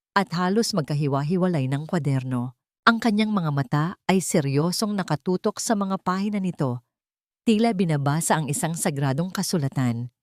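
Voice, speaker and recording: Woman narrating a dramatic story, low-pitched. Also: no room sound, clean studio sound. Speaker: narrator of a Tagalog love story.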